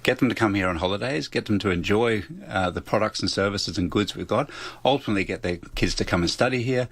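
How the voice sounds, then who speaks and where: A very deep-voiced Australian man speaks at a rapid clip in a close, dry recording. He is a founder answering interview questions, laying out his case point by point and often asking questions he then answers himself. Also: breathy edge, dry recording. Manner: poses rhetorical questions and lists points. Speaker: startup founder being interviewed.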